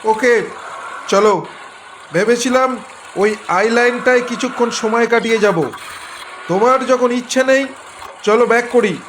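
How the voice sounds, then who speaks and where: A man playing a carefree, teasing young fiancé, high-voiced. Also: measured pace, long pauses, background noise. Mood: reassuring. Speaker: actor in audio drama. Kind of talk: audio drama acting.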